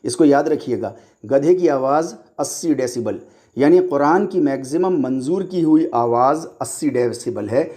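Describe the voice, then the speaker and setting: A man's voice: medium pitch, animated, measured pace, dark tone. A man lecturing on religion in a didactic way, explaining step by step and repeating key points for emphasis.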